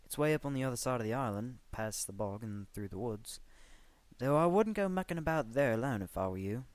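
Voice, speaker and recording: A man's voice: medium pitch, expressive, brisk, dry recording, voice pushed up, lightly acted. A man doing a lilting Welsh island curator. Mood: warning. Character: helpful Welsh male island museum curator.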